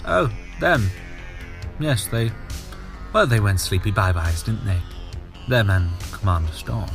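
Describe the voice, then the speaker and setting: Man acting smug alien villain, lowish voice, theatrical, mocking, slow drawl, audio drama, music underneath. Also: animated, creaky. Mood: gloating. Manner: theatrical, mocking, drawn-out delivery. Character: sadistic, gloating, campy male alien villain collector.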